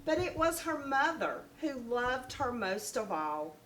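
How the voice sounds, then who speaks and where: A woman teacher reading a picture book aloud to young children in an expressive, deliberate storytelling manner. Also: on the high side, a little hiss or hum, rough recording. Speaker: first grade teacher.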